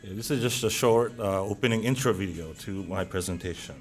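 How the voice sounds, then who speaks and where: This is a man in his thirties, a native Japanese speaker with a Japanese accent, giving a seminar presentation to a room of listeners. His voice sits a little low. He speaks haltingly and fills his pauses with "uh". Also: soft background music. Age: 30s. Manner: halting, many "uh" fillers.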